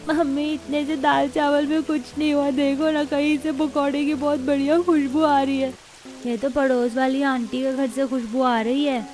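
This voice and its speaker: A woman's voice: sits high, level tone, normal speed, darkish tone, lightly acted. A woman voicing a small, hungry, pleading child in a cartoon with a whiny manner.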